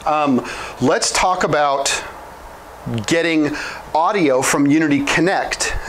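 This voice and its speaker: A man's voice: on the high side, slow, a bit bright, a little hiss or hum, poor audio. A man around his late thirties giving an informal, step-by-step technical explanation as an instructor. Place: small indoor office room with whiteboard. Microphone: lapel mic.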